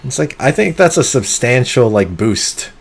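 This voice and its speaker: A man streaming a game live, talking through it in an excitable, competitive way, with sudden gasps and pleading. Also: medium pitch, normal speed, creaky, husky. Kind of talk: live gameplay commentary.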